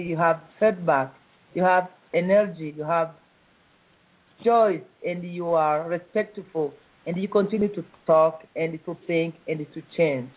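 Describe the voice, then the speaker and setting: A middle-aged woman with a Congolese accent answers interview questions over a thin, phone-like line. Her English is simple and halting, and she pauses often between phrases. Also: fairly low pitch.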